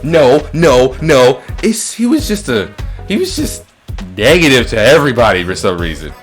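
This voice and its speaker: A man's voice: medium pitch, big pitch swings, moderate pace, soft background music. A man hosting a casual movie-review chat, ranting with profanity and opinions, often repeating and stumbling over his phrases. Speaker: podcast host.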